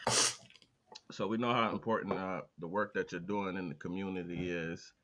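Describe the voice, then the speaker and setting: Low, laid-back male podcast host. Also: fairly even intonation, bright, forceful tone, lo-fi sound. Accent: American Midwest. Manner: low-key, slow, hesitant with many "uh" fillers. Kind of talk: casual interview talk.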